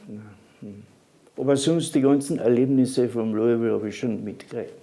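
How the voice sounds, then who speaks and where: A man in his eighties with a Carinthian accent, whose first language is Slovene, recalling his life in an interview in a halting way, often restarting his sentences. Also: mid-range voice, crowd noise. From Carinthia, Austria. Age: 80s. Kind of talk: reminiscing interview.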